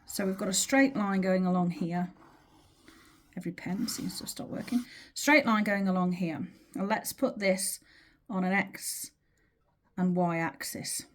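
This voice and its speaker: A woman teaching in an informal, explanatory way, with measured, emphatic phrasing and pauses as she talks through a drawing. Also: medium pitch. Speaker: teacher of earth energy dowsing.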